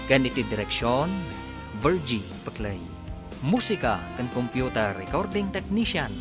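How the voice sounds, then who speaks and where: Dramatic male radio announcer over loud music. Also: medium pitch. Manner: dramatic, declamatory. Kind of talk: scripted radio serial narration.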